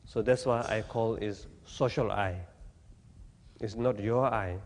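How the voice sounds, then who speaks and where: A man from Tibet, giving a Buddhist teaching in halting, plain English with gentle humor. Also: lowish voice, fairly even intonation, in a large hall, a little hiss or hum. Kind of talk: dharma talk to an audience. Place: talk venue with audience.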